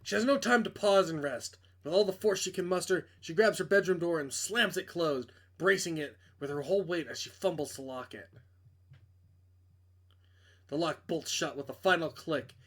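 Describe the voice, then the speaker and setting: A man's voice: a little high, fairly bright tone. Man narrating and reviewing a game. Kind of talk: dramatic read-aloud of a visual novel and casual commentary.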